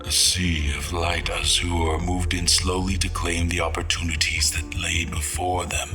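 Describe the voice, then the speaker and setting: A man playing a solemn steward of a fallen world, giving grave, deliberate, often hushed dramatic narration in an audio drama. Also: sits low, level tone. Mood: wistful. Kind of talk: dramatic first-person scripted narration. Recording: music under the voice. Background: dramatic music.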